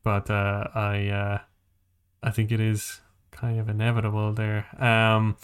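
A man co-hosting a podcast in casual conversation, talking in a rambling, halting way and often rephrasing mid-sentence. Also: on the low side, completely flat delivery, smooth. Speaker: podcast co-host.

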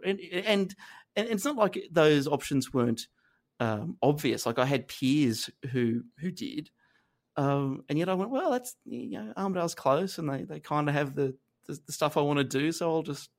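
An Australian man in his thirties, a guest reflecting on his past in a halting, self-deprecating way, with many restarts and fillers. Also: on the high side. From northern New South Wales, Australia. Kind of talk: reflective conversational interview.

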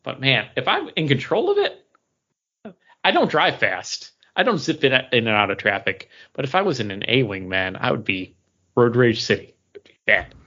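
Bright-voiced, excitable man on lo-fi audio. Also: medium pitch, normal speed. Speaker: podcast co-host. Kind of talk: casual, enthusiastic fan chat.

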